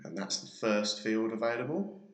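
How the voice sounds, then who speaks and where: A man presenting a software tutorial, narrating a screen demo step by step in an informal, explanatory way. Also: lowish voice, expressive, normal speed. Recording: roomy, echoey sound.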